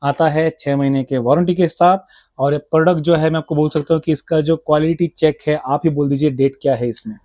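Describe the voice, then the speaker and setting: Higher-voiced, mellow Indian man explaining products. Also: fairly even intonation, brisk, dry recording, studio quality. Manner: explanatory, detailed. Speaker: motorcycle gear shop seller. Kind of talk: conversational product explanation in mixed Hindi and English.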